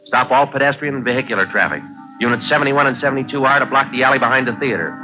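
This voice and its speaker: A hard-edged, matter-of-fact American police detective played by a man in a vintage radio crime drama. He talks in quick, clipped, businesslike phrases on an old 1940s recording with faint music. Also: medium pitch, pushed and bright, natural voice, low-quality recording. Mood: brisk, businesslike. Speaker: police detective lead and narrator.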